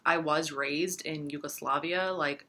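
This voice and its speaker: A woman's voice: deep voice, fairly even intonation, measured pace, bright, forceful tone. A woman in her thirties, a Serbian-speaking astrologer and tarot reader, chatting casually to camera in a rambling way with lots of fillers. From United States. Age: 30s. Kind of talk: casual talking-to-camera chat.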